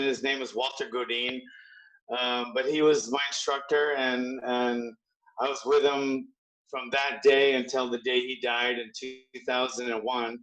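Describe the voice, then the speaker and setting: A man in his sixties from Hawaii tells stories in a loose, halting way, circling back with restarts and fillers. His voice sits at an ordinary middle pitch. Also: a bit bright, poor audio. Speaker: guest martial arts trainer. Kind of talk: casual storytelling.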